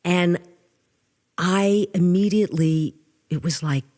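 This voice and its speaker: An older woman from New with a low voice, telling lively stories as a guest in a casual interview. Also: slow. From New York. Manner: animated storyteller, restarts often. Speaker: guest musician.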